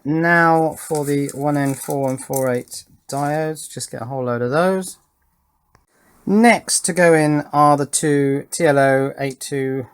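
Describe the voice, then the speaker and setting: A man narrating hands-on work casually, thinking aloud with pauses and murmured asides as he goes. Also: mid-range voice, smooth. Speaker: host of an electronics project channel.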